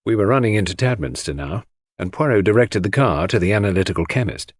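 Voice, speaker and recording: A man's voice: lowish voice, expressive, fast, no echo, studio quality. A man reading a story aloud as scripted audiobook narration.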